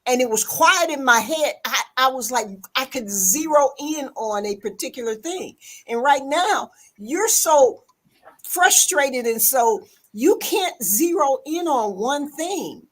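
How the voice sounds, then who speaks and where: A woman with a high voice hosts a casual live stream chat. She talks in a gentle, coaxing way and calls the listener sweetheart and baby. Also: lively intonation, slow. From a big city.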